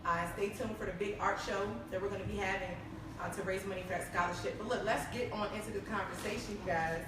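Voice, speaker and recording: A woman's voice: medium pitch, steady tone, fast talker. A woman hosts a panel talk show, chatting along in an upbeat way with plenty of "uh"s. Her voice rings in a very echoey room, picked up from a distance, with noise behind. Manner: upbeat, chatty, many fillers. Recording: echoey room, far from mic.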